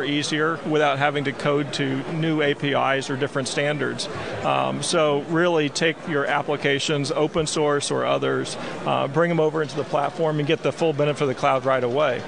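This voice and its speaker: A man's voice: on the high side, vocal fry. A man in his forties, a company executive, answering interview questions on a busy trade show floor with many "um" fillers, speaking into a handheld mic. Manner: lots of "um" fillers, gestures while talking. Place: busy expo hall floor. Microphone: handheld mic held by interviewer. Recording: noisy crowded expo hall. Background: crowd chatter.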